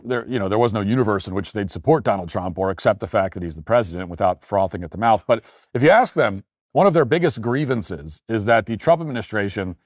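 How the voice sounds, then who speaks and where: A man's voice: fairly low pitch, dry recording, clean studio sound. An American man hosting an opinion show, delivering an argumentative commentary monologue with emphatic points and rhetorical questions. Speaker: political commentary show host. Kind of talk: opinion commentary monologue, reading posts aloud.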